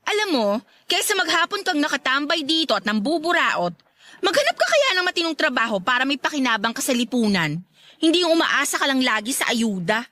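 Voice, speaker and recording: A woman's voice: sits high, sing-song, fairly quick, full character voice. A woman acting a feisty, disdainful young woman in a radio drama, scolding and haughty as she rebuffs a suitor. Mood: scornful. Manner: scolding, haughty, sharp-tongued.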